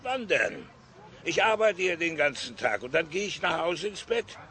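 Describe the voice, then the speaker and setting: An actor playing a brusque, harried department-store Santa Claus in a crime radio drama. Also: male voice, fairly high pitch, big pitch swings, normal speed, bright, forceful tone. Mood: defensive. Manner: brusque, irritable.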